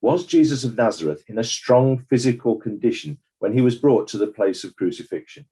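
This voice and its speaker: A man playing a formal, probing examiner putting deliberate questions in a courtroom drama. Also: medium pitch, expressive, dark tone, lightly acted. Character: formal, probing male examiner at a legal inquest.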